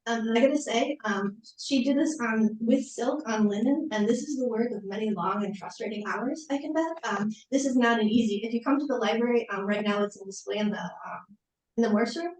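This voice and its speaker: Soft-spoken woman, echoey and distant. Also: fairly high pitch, brisk. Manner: soft-spoken, with frequent fillers. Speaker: library director. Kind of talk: community presentation. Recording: echoey room, far from mic.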